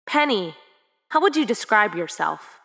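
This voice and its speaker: A woman playing a poised, proud military official in an animated drama, presenting in a formal, ceremonial manner. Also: medium pitch, a little slow, gravelly, husky, full character voice. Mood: gracious. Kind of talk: animated-series voice acting. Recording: music behind the voice.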